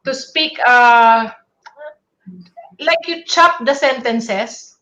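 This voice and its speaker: A woman's voice: a little high, extremely slow, sharp tone, muffled recording. A woman from the Philippines speaking as a panel guest, talking at length with many restarts and fillers. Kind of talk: casual panel discussion.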